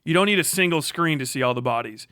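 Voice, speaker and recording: American guy ranting on stream, kinda high voice, swears a lot, blunt, super dry sound. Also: lively intonation, fast, bright, forceful tone, clear, resonant tone, no room sound. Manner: profane, blunt and combative. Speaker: livestream commentator. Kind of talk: unscripted political reaction rant on a livestream.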